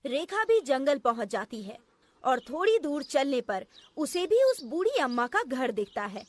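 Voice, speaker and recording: A woman's voice: sits high, a bit bright, slight background noise. A woman narrating a scripted children's story as the storyteller.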